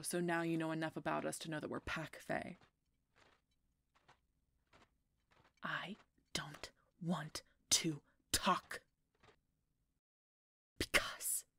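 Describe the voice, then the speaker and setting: A woman playing a guarded, brooding female werewolf knight in a fantasy audio roleplay, terse and often murmuring in a whisper. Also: lowish voice. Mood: irritated, then anguished.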